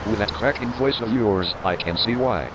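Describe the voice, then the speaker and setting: A man's voice: fairly low pitch, normal speed, lightly acted. A man voicing a teasing firefighter colleague who mocks the chief sarcastically. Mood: mocking. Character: mocking male firefighter colleague. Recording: loud vehicle noise.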